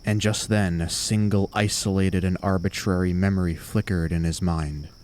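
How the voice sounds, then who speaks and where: A man with a deep voice narrates a horror tale over a clear bed of ambient noise. His delivery is deliberate and suspenseful, with dramatic pauses between phrases. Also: steady tone, a little mellow.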